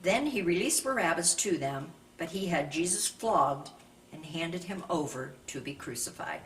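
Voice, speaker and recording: A woman reading a Bible narrative aloud from a script in a measured, deliberate way, as a scripture reader. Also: lowish voice, a bit bright. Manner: measured, raises voice for quoted speech. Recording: distant microphone with faint background noise.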